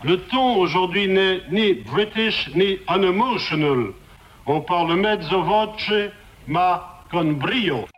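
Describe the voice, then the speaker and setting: A man's voice: high-pitched, lively intonation, slow, dull audio. A man presenting on radio in a theatrical, playful way, slipping into foreign phrases.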